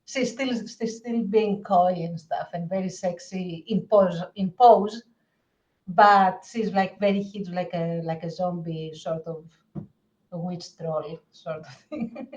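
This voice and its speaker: A woman co-hosting a podcast, describing scenes casually in a halting, informal and expressive way. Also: on the low side, highs cut off. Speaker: podcast co-host.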